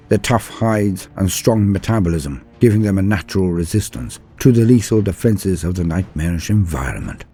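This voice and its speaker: A man's voice: lowish voice, warm tone, husky. A man narrating scripted stories as a channel host, in a theatrical, florid and formal manner. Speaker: host of a lore channel and storyteller. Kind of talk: dramatic scripted storytelling narration.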